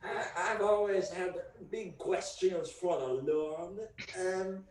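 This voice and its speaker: A man putting on a slow, hammy French bachelor. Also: sits high, darkish tone, far from the mic, slight background noise. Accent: put-on French accent. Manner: theatrical, drawn-out questions sprinkled with French. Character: pompous French bachelor hosting a dating game. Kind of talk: improv comedy. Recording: roomy sound, away from the mic.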